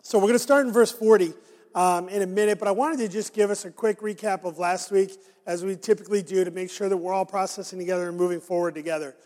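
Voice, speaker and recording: A man preaching a sermon as pastor, his voice raspy and hoarse from a raw throat, talking conversationally with frequent fillers. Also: high-pitched, steady tone, fast, darkish tone. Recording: long hall reverb.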